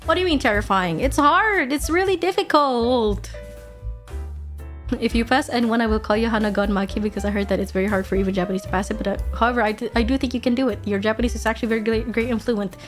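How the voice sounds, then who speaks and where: A woman's voice: on the high side, quick pace, faint music behind. A young woman from Indonesia, streaming a casual chat, swearing freely, laughing often and answering her chat.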